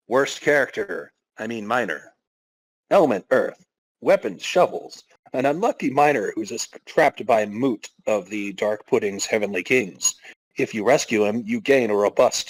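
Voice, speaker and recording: A man's voice: lowish voice, no room sound, poor audio. A man hosting a podcast, giving a dramatic reading with casual, wry asides. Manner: wry, self-interrupting commentary. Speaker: podcast host.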